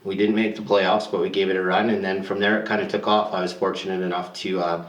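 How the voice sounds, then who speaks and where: Deep voiced guy talking fast and flat about his hockey days, echoey room. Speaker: former hockey player and coach. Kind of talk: documentary interview. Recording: roomy sound from a distant mic.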